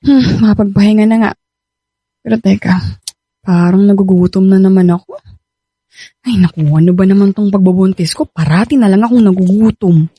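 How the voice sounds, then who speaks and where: A woman's voice: mid-range voice, brisk, pauses often, warm tone, breathy edge, no echo, natural voice. An actress with a Filipino accent playing a pregnant young woman betrayed by her partner, emotional and pleading, in a radio drama. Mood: weary, casual. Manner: emotional, insistent, repeats words and pleads. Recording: dramatic music bed under the voice. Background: music.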